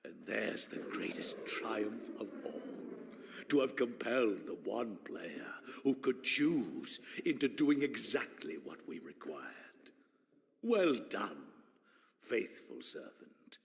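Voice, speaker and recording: A man voicing a gloating ancient villain in a video game, theatrical and savoring each phrase, with a gravelly voice. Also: on the low side, very animated, slow, big-room sound, exaggerated voice. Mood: gloating menace. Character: gloating ancient villain, a sinister servant of dark masters. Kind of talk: dramatic video game voice acting.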